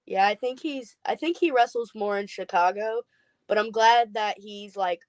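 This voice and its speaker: A woman co-hosting a podcast who chimes in with quick, joking banter. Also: medium pitch, no room sound. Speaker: podcast co-host. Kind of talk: casual podcast chat.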